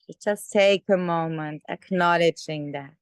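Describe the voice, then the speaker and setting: A woman leading a guided meditation in a gentle, unhurried manner with many pauses. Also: fairly low pitch, smooth, no room sound, studio quality. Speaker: meditation teacher. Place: online video call session.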